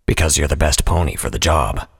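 Man putting on a very deep, gravelly pony captain. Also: lively intonation, a little fast, big-room sound, full character voice. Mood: terse. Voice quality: gravelly, husky. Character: terse, stern male pony captain.

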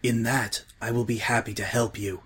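A man voicing a calm, doubtful male changeling who speaks softly and in measured terms, with a husky voice. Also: medium pitch. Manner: soft-spoken, measured.

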